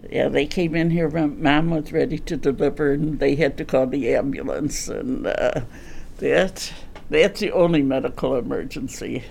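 A woman's voice: low voice, moderate pace, gravelly, a little mellow, slight background noise. An older woman reminiscing casually as a court clerk in an interview, repeating phrases for emphasis.

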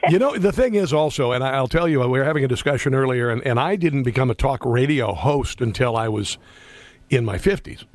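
A man's voice: medium pitch, expressive, brisk, no echo. A man in his fifties hosting a talk radio show, rambling and chummy, laughing often. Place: radio studio. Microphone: studio microphone.

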